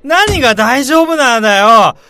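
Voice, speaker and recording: A man playing an excitable, easily embarrassed young drinking buddy in a radio drama, with a whiny, exclamatory manner. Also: extremely high pitch, a little slow, clear, resonant tone, dry recording, full character voice. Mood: flustered, embarrassed.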